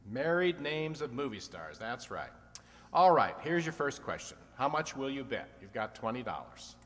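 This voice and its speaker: A wry, teasing quiz show host played by a man quips his way through an old-time radio comedy program. His medium-pitched voice rings in a long hall reverb. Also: sing-song, moderate pace, close to an everyday voice. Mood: brisk, wry. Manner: wry, quipping. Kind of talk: 1940s-50s comedy radio quiz re-enactment.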